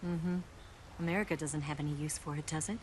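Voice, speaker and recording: A woman's voice: low voice, steady tone, fairly quick, a little mellow, slight background noise, close to an everyday voice. An actress voicing a confident, flirtatious female spy in a video game cutscene, teasing and breezy. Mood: briefing. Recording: faint music under the dialogue.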